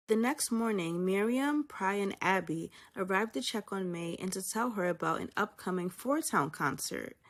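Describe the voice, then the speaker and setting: A woman teacher reading a children's storybook aloud in a soft, hushed narration. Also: medium pitch.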